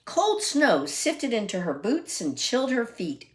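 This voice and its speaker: A woman reading a children's picture book aloud expressively, deliberate and emphatic, with asides to listeners. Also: fairly high pitch, sing-song. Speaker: read-aloud storyteller.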